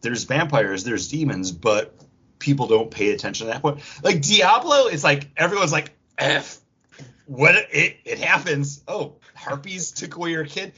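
A man co-hosting a podcast in casual, animated pop culture chat, swearing freely and acting out imagined quotes. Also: on the high side, a little slow, breathy edge. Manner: profane, acts out imagined quotes mid-talk. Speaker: podcast co-host. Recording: dull, lo-fi audio.

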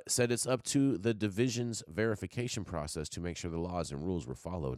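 A man's voice: deep voice, no echo, clean studio sound. A man co-hosting a news show, reading articles aloud with stumbles and restarts, then chatting over a remote call.

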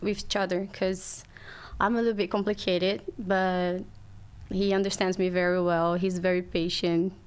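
A woman with a Brazilian accent, a native Portuguese speaker, chatting casually as a podcast guest in slightly halting English. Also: fairly low pitch, little pitch movement, clear, resonant tone. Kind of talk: casual chat.

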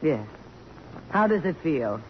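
A woman's voice: deep voice, very animated, takes it slowly, exaggerated voice. An actress playing a polished, commanding middle-aged woman of accomplishment in 1950s radio drama style. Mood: guarded, nostalgic. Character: poised, strong-willed middle-aged woman, accomplished author and dignitary. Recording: dull, noisy vintage radio recording.

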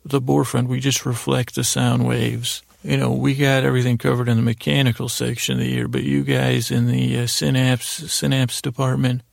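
Gravelly, rambling man in deep creak. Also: no room sound, studio quality. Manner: meandering, halting, repeats and trails off. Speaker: podcast host. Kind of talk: rambling improvised bedtime monologue.

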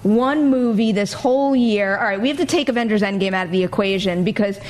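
A woman's voice: medium pitch, fairly quick, a little mellow, low-quality recording. A woman hosting a casual movie panel, chatting and taking viewer questions in an enthusiastic, emphatic manner. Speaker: host of a movie talk show.

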